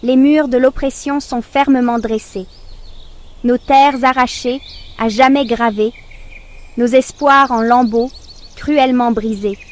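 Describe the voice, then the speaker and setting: Slow, high-voiced woman reciting solemn poetry. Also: steady tone. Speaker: poetry narrator. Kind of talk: solemn scripted poem recitation.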